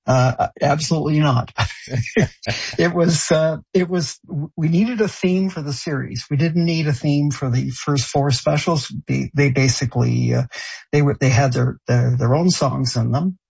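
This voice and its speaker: A man born in Canada and raised in France, speaking in an interview with a gravelly, husky voice. He talks haltingly, full of fillers and restarts. The audio is dull and lo-fi. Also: a little high, darkish tone. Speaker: show creator and songwriter. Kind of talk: interview answers.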